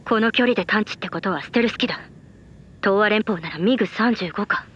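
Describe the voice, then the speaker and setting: A woman's voice: mid-range voice, a little fast, a bit bright, highs cut off, a little hiss or hum, lightly acted. A woman playing a cool male recon pilot. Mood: tense. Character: male fighter pilot, cool under fire.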